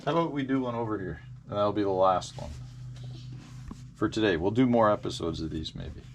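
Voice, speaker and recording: An American man in his forties telling casual, rambling, halting stories as the host of a fishing vlog. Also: on the low side. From Indiana, United States. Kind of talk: casual vlog storytelling about fishing gear. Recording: clear background noise.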